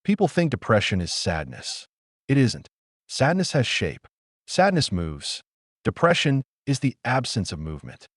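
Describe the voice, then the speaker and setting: A man playing a wounded, introspective man haunted by his past, delivering a solemn, deliberate dramatic monologue in measured phrases. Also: medium pitch, huge pitch swings, no room sound, clean studio sound. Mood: bleak, heavy. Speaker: actor performing a one-man audio play.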